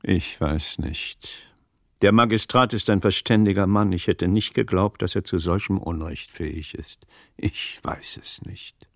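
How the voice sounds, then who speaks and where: Deep, gravelly, troubled scholar. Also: male voice, no room sound, studio quality, heavily acted. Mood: doubtful. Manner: measured, brooding. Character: troubled, learned magister, grave and doubting master. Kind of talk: German radio play acting.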